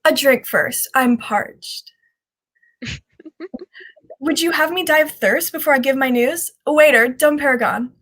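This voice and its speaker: A fairly high-voiced young woman of the underworld, acted by a woman, running a shady café in an old radio drama. She is a charming scoundrel, greedy and playful, always wheedling. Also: measured pace, pauses often, a bit bright. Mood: teasing, greedy. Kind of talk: 1940s radio drama acting.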